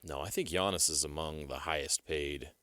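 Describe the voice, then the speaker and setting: A man's voice: extremely low pitch, moderate pace, sharp tone, no room sound, studio quality. A man co-hosting a sports talk radio show, bantering casually and asking questions in a matter-of-fact way. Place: radio studio. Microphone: close studio mic.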